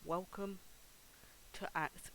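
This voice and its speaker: A woman's voice: fairly low pitch, fairly even intonation, very slow, sharp tone, a little hiss or hum, rough recording. A woman streaming a video game, giving live commentary in a wry, theatrical manner.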